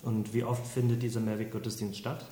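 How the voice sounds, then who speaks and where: A man co-hosting a casual podcast, asking questions in a relaxed, joking way and murmuring agreement often. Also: on the low side, a little fast. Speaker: podcast co-host. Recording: echoey room.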